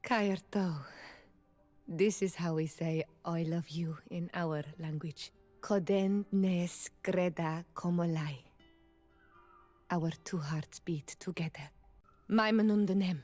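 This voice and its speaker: An actress playing an earnest, gentle young island tribeswoman in a video game, speaking in measured, formal phrases. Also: fairly low pitch, steady tone, soft background music. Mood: tender. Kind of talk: video game romance dialogue acting.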